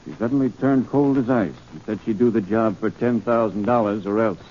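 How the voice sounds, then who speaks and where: An actor playing a brusque, self-important political bigwig in a 1940s radio drama. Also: male voice, fairly low pitch, fairly quick, natural voice. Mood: indignant. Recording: dull, noisy 1940s radio recording.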